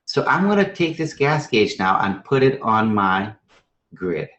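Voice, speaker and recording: A man's voice: fairly low pitch, very animated, a little slow, a bit bright, dull audio. A man from Trinidad and Venezuela teaching a math strategy session to teachers, conversational and emphatic, with rhetorical questions and frequent check-in tags. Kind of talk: live instructional presentation to teachers. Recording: echoey room sound.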